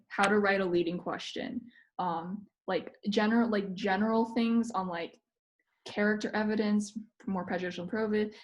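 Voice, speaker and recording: A young woman who leads a college mock trial club, chatting casually on a video call and using many fillers. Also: mid-range voice. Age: young adult. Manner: chatty, lots of "like", drops to near whisper. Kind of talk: casual Zoom chat.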